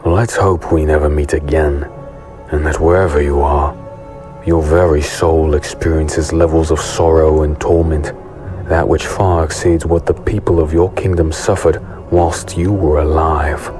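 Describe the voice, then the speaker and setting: A man playing a brooding, haunted sellsword who narrates a dark fantasy audio drama in a grave, deliberate manner. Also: rumbling low. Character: brooding male sellsword infiltrator, haunted former prisoner, narrating. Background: music under the voice.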